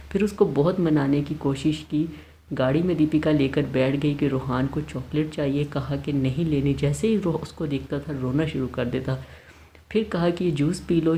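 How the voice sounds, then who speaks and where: A woman narrating a recap of a celebrity family's vlogs, in a steady storytelling way with light commentary of her own. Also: low-pitched. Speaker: celebrity news vlogger.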